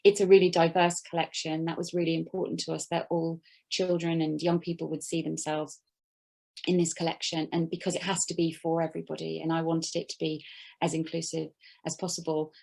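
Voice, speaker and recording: A woman's voice: low-pitched, completely flat delivery, fairly quick, gravelly, darkish tone. A woman author talking thoughtfully about her poetry collection, hesitant with many fillers.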